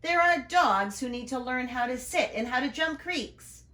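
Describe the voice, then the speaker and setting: A woman's voice: high-pitched, animated, normal speed, bright, forceful tone, roomy sound, pitched above the performer's own voice. A woman voicing an earnest, enthusiastic male school principal in a storybook, emphatic and proudly repeating himself. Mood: earnest, announcing. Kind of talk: storybook read-aloud.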